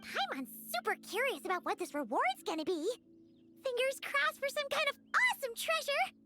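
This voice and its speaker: A woman voicing a tiny, chatty, excitable childlike fairy companion in an animated video game. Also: very high-pitched, moderate pace, over loud music. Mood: excited. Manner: exclamatory, speaks of herself by name.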